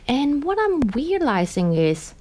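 A woman's voice: high voice, very animated, a little slow, mellow. A woman who speaks Cantonese as her first language, a leadership coach telling her career story in a radio interview, earnest and reflective.

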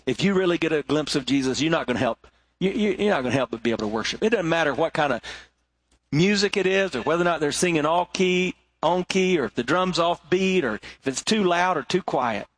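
A middle-aged male pastor preaching a sermon, swinging between rising emphasis and hushed, low moments. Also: lively intonation, a little fast, no room sound.

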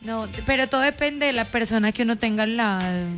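Higher-pitched paisa woman on radio. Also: a little fast, a bit bright. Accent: Colombian, paisa. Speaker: radio co-host. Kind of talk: casual radio chat. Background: music.

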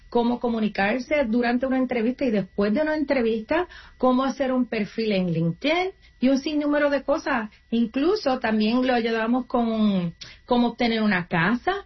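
Woman explaining job tips, muffled sound. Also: fairly high pitch. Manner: earnest, explanatory, with restarts. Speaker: guest, nonprofit career coach. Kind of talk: interview answers, advice.

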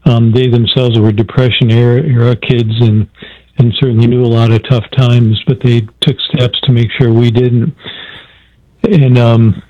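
A man's voice: medium pitch, little pitch movement, normal speed, no room sound. A man calling in to a radio show, earnest and hesitant, often restarting his sentences. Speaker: caller. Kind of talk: phone-in caller explaining a question. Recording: dull lo-fi phone line.

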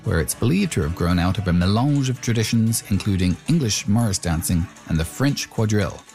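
A man's voice: lowish voice, animated, fairly quick, darkish tone, soft background music. A man hosting a documentary, narrating from a script and putting questions to his guest. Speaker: host and reporter.

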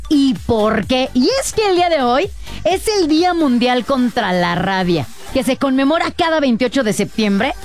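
Female radio host, central Mexican accent, effusive and chatty, high voice, slightly stuffed-up. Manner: effusive, affectionate with listeners. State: congested with a cold or allergy. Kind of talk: chatty live radio presenting. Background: light music bed.

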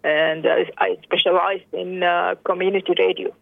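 Middle-aged woman on the phone, gravelly voice, lots of uhs and restarts, dry tinny line. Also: fairly low pitch, flat delivery, moderate pace, no echo. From Sudan. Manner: hesitant, many fillers and restarts. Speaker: interview guest. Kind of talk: phone interview.

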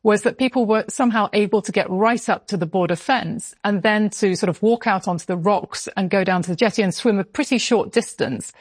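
A woman's voice: medium pitch, brisk, few pauses, husky, no room sound. A woman, a foreign correspondent explaining events in an interview.

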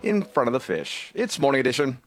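A man's voice: medium pitch, brisk. A male radio news host reading a light, playful scripted item. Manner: playful, lively delivery. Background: music bed.

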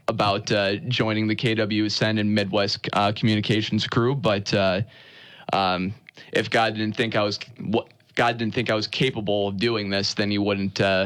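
Earnest young man, smooth low voice. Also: a bit bright, dry recording. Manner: earnest, halting, many restarts. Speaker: sports broadcaster. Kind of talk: radio interview guest.

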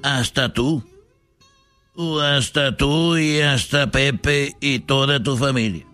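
A man plays a stubborn Mexican ranchera star in a comedy sketch. He speaks slowly and haltingly at an ordinary pitch, defending himself as the unrepentant younger brother, with music behind his voice. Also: bright, forceful tone, clear, resonant tone, no room sound. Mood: stubborn, indignant.

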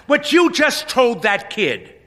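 A man's voice: extremely high pitch, wildly swooping pitch, slow pace, pushed and bright, voice pushed up, full character voice. A man voicing an indignant, stern pastor who scolds sharply. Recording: long hall reverb.

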